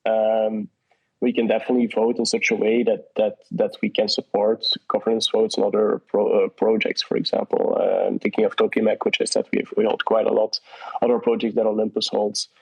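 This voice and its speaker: Flat-voiced man on a lo-fi call. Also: lowish voice, fairly quick, creaky, breathy edge. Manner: hesitant, many restarts and fillers. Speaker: guest from a partner project. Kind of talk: casual conversational answers. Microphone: online audio call. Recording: dull lo-fi audio call.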